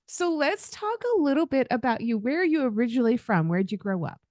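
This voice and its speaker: A woman's voice: fairly high pitch, big pitch swings, brisk, a little mellow, dry recording. Chatty woman podcast host, dry sound. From Southern United States. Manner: chatty, laughs often. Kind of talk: podcast interview, friendly chat.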